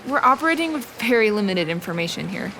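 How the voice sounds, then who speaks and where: Woman acting lively, teasing young student. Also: medium pitch, fast talker, creaky, a little hiss or hum, rough recording. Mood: skeptical, playful. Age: young adult. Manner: playful, wry. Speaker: actor in an audio drama. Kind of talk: audio drama dialogue. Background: music.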